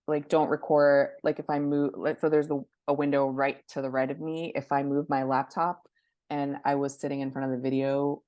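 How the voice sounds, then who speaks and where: A woman's voice: sits low, a little mellow, no echo. A woman speaking as a guest expert in an interview, explaining things informally with practical examples. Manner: conversational, gives practical examples and step-by-step advice. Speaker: guest expert, book publishing professional and influencer. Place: indoors by a window, at a laptop.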